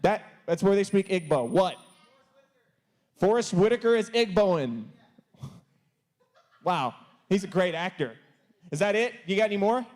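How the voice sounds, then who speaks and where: Young male host, high lively voice, playful casual patter. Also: unhurried, pauses often. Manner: playful, stumbling over foreign words. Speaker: youth service host. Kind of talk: casual live-stream chat. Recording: long church hall reverb.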